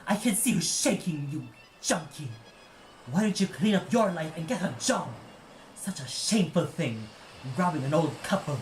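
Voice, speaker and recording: A man's voice: a little high, animated, moderate pace, reverberant, a little hiss or hum, voice pushed up, lightly acted. A man voicing a fierce, scolding old woman in an audio play, indignant and chastising. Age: older.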